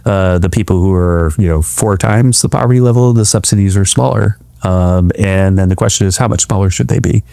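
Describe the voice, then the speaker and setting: Male official in an interview, soft dark tone, lowish, kinda halting and hedging. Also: creaky, no room sound, studio quality. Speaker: government official being interviewed. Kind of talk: interview answers.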